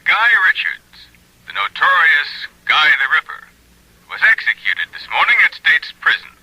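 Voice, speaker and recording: An actor playing a brisk newsreader giving an old radio bulletin through a radio filter. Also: male voice, on the high side, huge pitch swings, sharp tone, husky, no room sound, heavily acted, rough recording. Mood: declamatory.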